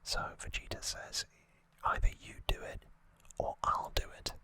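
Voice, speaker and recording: An ASMR host speaking in a soft, breathy, unvoiced whisper, explaining things in a gentle, hesitant way as in a relaxed recap. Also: unhurried, no room sound.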